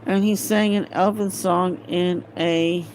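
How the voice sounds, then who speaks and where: An amateur woman reader works through a fantasy novel at a slow pace. She is hesitant, breaking phrases apart and stumbling over words. It is a home recording with faint noise behind her voice. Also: lowish voice, steady tone, a little mellow, poor audio. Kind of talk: halting read-aloud of a fantasy novel.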